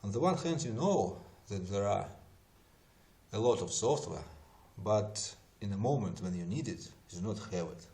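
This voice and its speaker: A man who is not a native English speaker narrates an explanatory software tutorial in a methodical, step-by-step way. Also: fairly low pitch, animated, normal speed.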